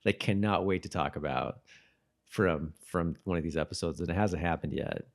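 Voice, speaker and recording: A man co-hosting a podcast, chatting casually about a TV show in a halting, hedging way. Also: deep voice. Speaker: podcast co-host.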